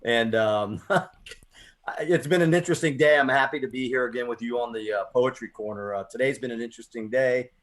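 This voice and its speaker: A man's voice: medium pitch, normal speed. An American man hosting a radio poetry program, speaking in an earnest, reflective, emphatic way, whether chatting or reading. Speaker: poetry show host. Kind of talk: conversational radio talk and spoken-word reading.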